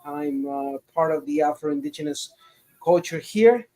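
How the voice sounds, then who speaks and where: Hesitant Costa Rican man, a bit high. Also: animated, measured pace, warm tone. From Costa Rica. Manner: rambling, hesitant with many uhs. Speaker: livestream guest. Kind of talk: casual chat.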